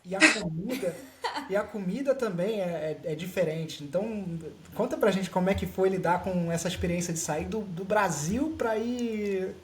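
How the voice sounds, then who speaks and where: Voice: mid-range voice, moderate pace, darkish tone. A podcast host asking questions in a chatty, joking way. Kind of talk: casual interviewing. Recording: roomy echo, faint noise.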